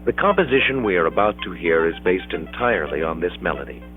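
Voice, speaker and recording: Educational film narrator, American male, middle-aged, very deep register, measured scripted delivery, thin vintage 16mm sound. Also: lively intonation, narrow, tinny audio, soft background music. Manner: measured, explanatory.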